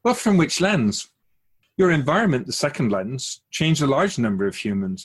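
A man playing a wise otherworldly keeper of souls in a radio drama, measured and didactic, with gentle, wry teasing. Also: medium pitch, husky. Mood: patient, instructive. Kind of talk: conversational radio drama acting.

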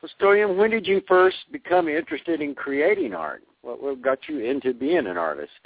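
A man's voice: on the high side, very animated, normal speed, creaky. A man hosting an interview show, reading a polished intro and asking questions. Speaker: radio show host. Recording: tinny phone-like audio with faint music.

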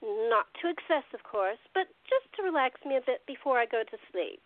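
A woman's voice: high voice, big pitch swings, brisk. A woman playing a glamorous, indulgent 1920s party hostess who calls people darling, in an amateur radio play. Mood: breezy. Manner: affectionate, calls others darling. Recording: tinny phone-like audio.

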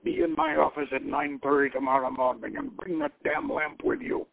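Casting: man playing sarcastic, intimidating tycoon villain, gruff Dracula accent, audiobook drama, tinny narrow-band sound. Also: fairly high pitch, huge pitch swings, moderate pace, pitched below the performer's own voice, exaggerated voice. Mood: gruff, commanding. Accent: Dracula-style Eastern European.

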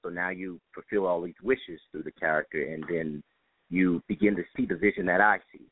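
A man's voice: low voice, fairly even intonation, fairly quick, slight background noise. A man speaking as a stage director in an interview, explaining things in an earnest, reflective way. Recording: dry, phone-like band-limited line.

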